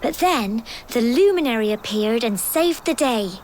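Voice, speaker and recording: An actress playing a gentle, wistful young village girl in a video game, earnest and soft-hearted, with an English accent. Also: a little high, lively intonation, measured pace, slight background noise. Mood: wistful, storytelling.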